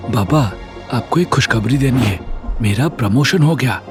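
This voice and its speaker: An earnest young lieutenant, played by a male storyteller, respectful and sure of himself. He speaks in a lively, moving voice with music underneath, in the manner of a military audio drama. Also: mid-range voice, moderate pace, voice pushed down, lightly acted. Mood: happy, proud.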